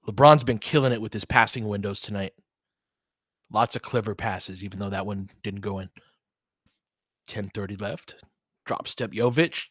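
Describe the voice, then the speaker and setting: A man's voice: lowish voice, no echo, clean studio sound. A man giving casual live basketball commentary as a host, chatting informally with listeners and reacting like a fan.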